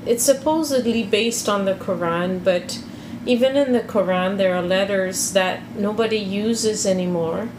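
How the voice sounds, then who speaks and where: Slow, hesitant woman. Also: medium pitch. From Quebec. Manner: hesitant, many restarts and fillers. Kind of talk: casual chat. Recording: echoey room with background noise.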